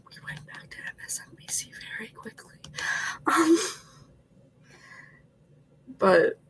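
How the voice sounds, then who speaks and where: A man's voice: very high voice, sing-song, slow pace, a bit bright, breathy edge, poor audio. A sick, congested young man chatting casually while getting ready on a home livestream, rambling and often slipping into a whisper. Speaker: vlogger.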